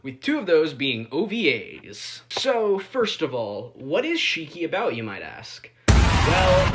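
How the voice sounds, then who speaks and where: Animated reviewer with sweeping intonation. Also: medium pitch, heavy background noise. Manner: sarcastic asides and dry jokes. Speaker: anime reviewer, narrator. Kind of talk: casual scripted video review narration.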